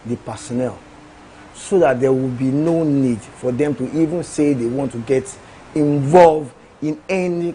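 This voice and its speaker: A man, an agency official explaining procedures in a measured way. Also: a little high, warm tone, a little hiss or hum, poor audio. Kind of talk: news interview answers.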